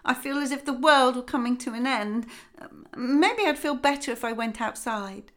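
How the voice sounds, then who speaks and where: A woman's voice: sits high, moderate pace, a bit bright, pitched above the performer's own voice. A woman voicing a guilty, terrified stepmother with anxious, fearful outbursts.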